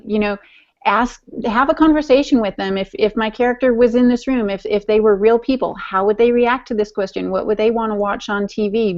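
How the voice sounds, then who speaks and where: A woman co-hosting a podcast in casual conversation, chatty and playfully teasing. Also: fairly high pitch. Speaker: podcast co-host. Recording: dull, lo-fi podcast audio.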